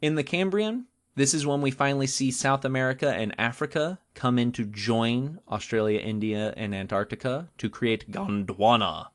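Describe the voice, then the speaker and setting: A man with a mid-pitched voice hosts a casual science podcast. He explains things informally and with some humour, stretching key terms for emphasis as he talks to a co-host. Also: normal speed, hardly any pauses.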